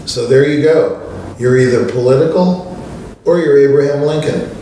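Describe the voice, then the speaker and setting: Older male host, chatty storyteller, mid pitch, distant mic, roomy echo, faint background hum. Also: normal speed, dark tone. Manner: anecdotal, conversational, many fillers. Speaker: show host, pianist. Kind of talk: storytelling monologue. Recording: distant mic in a very echoey room.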